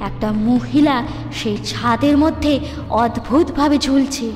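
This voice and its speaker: Female horror narrator, higher-pitched voice, suspenseful short phrases, heavy reverb with music underneath. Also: a little slow, a little mellow. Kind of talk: dramatic scripted ghost story narration. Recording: echoey long reverb over clear music. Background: background music.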